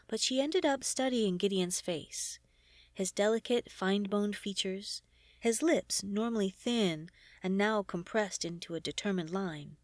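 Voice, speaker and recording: A woman narrating a fantasy story from a script in an expressive storytelling way. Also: medium pitch, no echo, studio quality. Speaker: audiobook reader.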